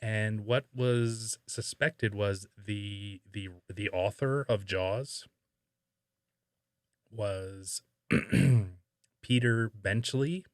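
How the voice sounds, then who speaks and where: A man with a low voice talks casually as a podcast host. He pauses and gropes for words, thinking aloud, in a close, dry recording. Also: no room sound. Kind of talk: casual conversational podcast talk.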